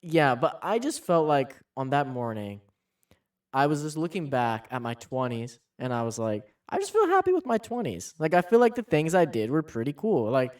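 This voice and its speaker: A man's voice: a little high, very animated, moderate pace, a little mellow, recorded from a distance. A man in his thirties chatting casually as a podcast co-host, musing with frequent restarts. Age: 30s. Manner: musing, talks in starts and restarts. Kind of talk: casual chat.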